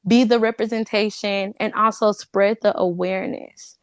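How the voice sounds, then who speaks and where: A woman's voice: mid-range voice, steady tone, normal speed, smooth, no room sound. A woman speaking as an interview guest in an informal, conversational way, peppering her talk with "like". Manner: informal, says "like" often. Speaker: cast member, interview guest. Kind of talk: casual conversational chat.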